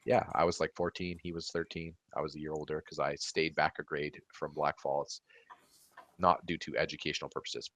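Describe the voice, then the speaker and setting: A young Canadian man co-hosting a podcast over a video call, telling long tipsy stories loudly with lots of restarts. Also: a bass voice, level tone, fairly quick, no echo. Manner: rambling, loud, tipsy storytelling with restarts. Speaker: podcast co-host. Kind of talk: casual chat. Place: small room at home. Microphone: headset on a video call.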